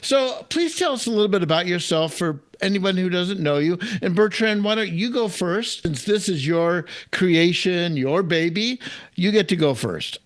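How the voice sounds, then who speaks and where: A man's voice: high voice, expressive, normal speed, dry recording. A man hosting a podcast with an enthusiastic, emphatic delivery, as if reading an upbeat script. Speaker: podcast host, chef.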